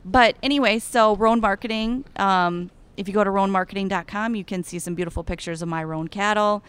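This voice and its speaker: A woman's voice: fairly low pitch, smooth. A young woman with an Iowan accent, chatting enthusiastically as an interview guest about being a cattle ambassador. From Iowa. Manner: bubbly and enthusiastic, gushing with excitement. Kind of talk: casual interview chat.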